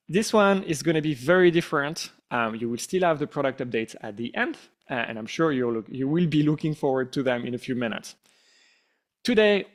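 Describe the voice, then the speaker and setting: A middle-aged man with a French accent, the company founder, giving a relaxed, self-deprecating town hall presentation with frequent fillers. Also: mid-range voice.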